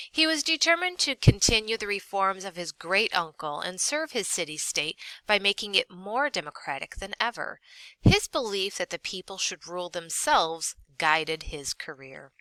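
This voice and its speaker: A woman teacher reads a lesson aloud in a bright, fairly low voice. Her delivery is deliberate and expressive, with lively rises and falls across each sentence. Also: dry recording, poor audio. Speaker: teacher reading a textbook aloud. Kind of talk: read-aloud lesson narration.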